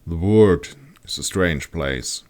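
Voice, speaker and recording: A man's voice: sits low, slow pace, darkish tone. A man playing a well-read, plain-spoken male ranger in a fantasy audiobook, explaining matter-of-factly. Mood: musing.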